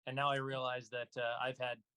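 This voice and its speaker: Guy being interviewed, average pitch, no room echo, explains stuff casually. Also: level tone, fairly quick, pushed and bright, clear, resonant tone. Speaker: interview guest, actor. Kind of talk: casual conversation.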